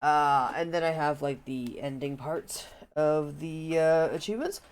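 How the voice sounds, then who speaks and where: A woman's voice: deep voice, a little slow. A woman game streamer chatting casually on a live stream, sounding stuffed up and nasal from allergies, rambling with plenty of fillers.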